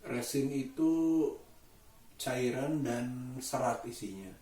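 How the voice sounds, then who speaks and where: A man speaks casually, as in a daily vlog. His delivery is slow and halting, often pausing to find the next word. The sound is echoey and picked up at some distance from the mic. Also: mid-range voice, darkish tone. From Indonesia. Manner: halting, thoughtful, searching for words. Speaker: vlogger. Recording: roomy echo, distant mic.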